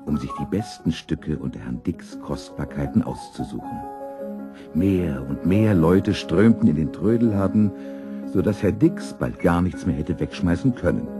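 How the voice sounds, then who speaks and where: A man narrating a scripted children's story as an expressive storyteller. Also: low voice, moderate pace, over music.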